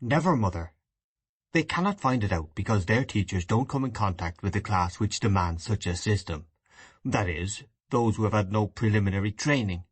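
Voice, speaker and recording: An earnest, idealistic young man speaks in a low, husky voice, as a man puts him on. He sounds steady and grave. The recording is close and dry. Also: normal speed, no room sound. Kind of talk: audiobook dialogue reading.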